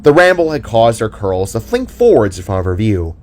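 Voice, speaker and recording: A man's voice: lowish voice, wildly swooping pitch, a little fast, a little mellow, soft background music. A man narrating a scripted audio drama in the third person.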